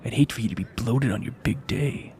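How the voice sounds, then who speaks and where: A smug high school football bully , acted by a man in an audio drama. He taunts with mocking condescension in a medium-pitched voice, over clear background noise. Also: fairly quick, close to an everyday voice.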